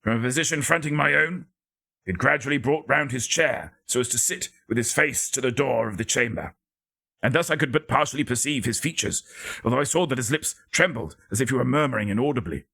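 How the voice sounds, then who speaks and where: Male narrator for gothic fiction: raspy, forceful, theatrical, with measured and deliberate phrasing. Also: mid-range voice. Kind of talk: dramatic scripted gothic story reading.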